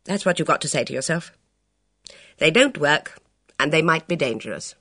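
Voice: medium pitch, animated, breathy edge, no room sound, studio quality, exaggerated voice. A reader voicing a bold, reckless middle-aged woman who speaks sharply and commandingly. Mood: imperious. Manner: brisk, imperious, sharp.

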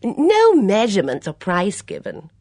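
Casting note: fussy, gossipy middle-aged mother, as read by a woman, lively sing-song delivery, husky tone. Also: mid-range voice, normal speed, no echo, studio quality, pitched above the performer's own voice. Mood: prattling. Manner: prattling, disapproving asides.

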